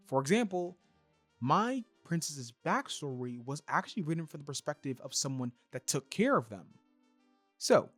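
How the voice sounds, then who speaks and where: A man hosting and narrating a scripted review, speaking in an emphatic, expressive way. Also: fairly high pitch, dry recording. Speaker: reviewer and host.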